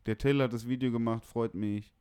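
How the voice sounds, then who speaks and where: A man's voice: mid-range voice, fairly even intonation, fairly quick, mellow, clean tone, dry recording. A young man from northern Germany chatting casually about music, slangy, blunt and opinionated. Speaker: music producer, podcast guest.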